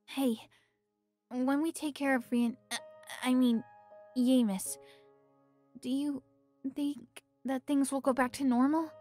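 Earnest young heroine, audio drama, played by a woman; high pitch, protective temper, light music behind. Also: steady tone, slow, pauses often, a little mellow. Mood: caring, earnest.

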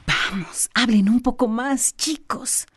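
An actress playing a feisty older female vigilante, muttering to herself deliberately. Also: on the high side, a little hiss or hum. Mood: urging.

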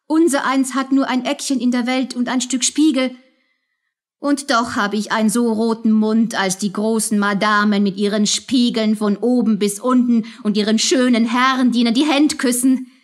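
A poor young mother in a radio play, with a fairly high voice. She takes her time over words, wistful and vain, yet weighed down by guilt. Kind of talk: radio drama acting.

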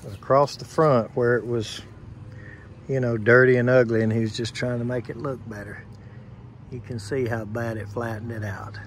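A man working as an HVAC technician, giving a plain, unhurried on-site explanation outdoors beside air conditioner units, recorded on a handheld phone camera. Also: medium pitch, steady tone, a little hiss or hum. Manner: plain, unhurried, points things out step by step.

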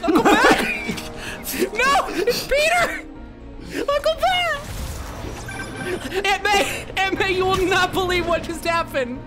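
A man's voice: on the high side, lively intonation, measured pace. A man playing an anguished, dim young male superhero in an improvised parody game dub, overdramatic and flustered. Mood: frantic. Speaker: voice actor in a comedy fan dub. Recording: music playing behind the voice.

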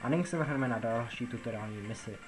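A man from the Czech Republic giving a casual, rambling game tutorial, repeating himself as he explains. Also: mid-range voice. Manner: rambling, repetitive explaining with filler words. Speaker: gaming video narrator. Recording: music behind the voice. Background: background music.

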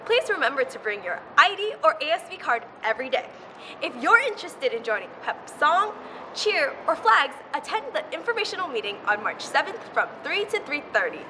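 A woman's voice: high-pitched, very animated, fairly quick, background noise. A teenage girl hosting a student news show outdoors, reading school announcements in an upbeat manner. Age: teens.

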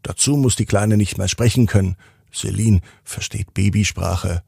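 A man's voice: fairly low pitch, normal speed, creaky, dark tone, slightly rough, no echo, clean studio sound. A man narrating a bedtime story for children as the host and storyteller.

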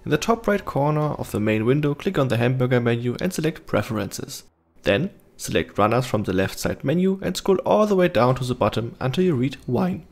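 A man's voice: mid-range voice, animated, brisk. A man reading scripted step-by-step instructional narration as a tutorial host. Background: background music.

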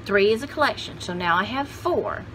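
A woman's voice: mid-range voice, animated, moderate pace, a bit bright, low-quality recording. Folksy older woman, chatty, some background noise. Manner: folksy, jokey, rambling. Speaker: host of a vintage haul video channel. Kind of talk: casual chatty vlog. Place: indoor home studio room with shelves.

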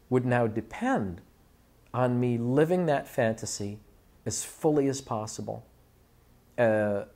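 Man, forties, average pitch, articulate, deliberate, reflective interview, lapel mic. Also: a little mellow. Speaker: audiobook director. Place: small office, indoors.

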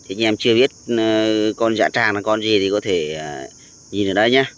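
A man with a fairly low voice narrates a casual outdoor vlog. He explains in an informal, halting manner, pausing to find his words as he goes. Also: a bit bright. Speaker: vlogger. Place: outdoors on a sandy tidal beach.

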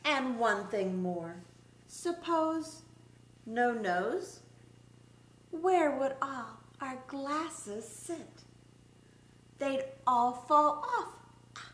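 Middle aged lady doing kids story time, really slow n expressive, roomy echo. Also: high voice. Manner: expressive, deliberate delivery with drawn-out words for young children. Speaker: special education teacher reading a picture book aloud. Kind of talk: children's story time read-aloud. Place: small indoor room set up as a classroom. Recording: roomy echo, background room noise.

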